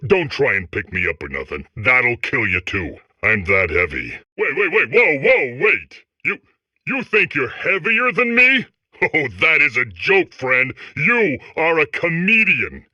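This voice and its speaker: A man's voice: medium pitch, sharp tone, dry recording, dull audio, heavily acted. A man voicing a boastful, dim, talking lead core in a video game, with slangy, blustering, rambling speech. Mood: incredulous, mocking. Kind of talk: comedic video game character acting.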